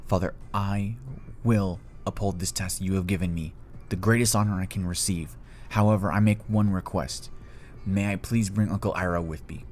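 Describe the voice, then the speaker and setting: A man's voice: low voice, steady tone, normal speed, darkish tone, a little hiss or hum, natural voice. A man voicing a dutiful teenage prince in a fan story, speaking in a respectful, formal and slightly hesitant way. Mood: earnest, respectful. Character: earnest, dutiful teenage prince addressing his feared father.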